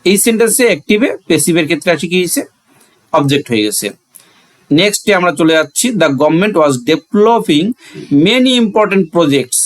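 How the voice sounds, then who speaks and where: A man's voice: fairly high pitch, fairly bright tone. A man with a Bangladeshi accent teaching a grammar lesson, asking questions and answering them himself, repeating phrases for emphasis.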